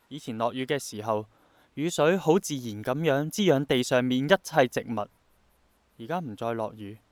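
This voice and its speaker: Radio drama lead: male actor as a persistent young idealist, Hong Kong accent, soft earnest reflection, mid-range voice, dry studio-close sound. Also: mid-range voice, dry recording, close to an everyday voice. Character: idealistic, persistent young man longing for rain to return.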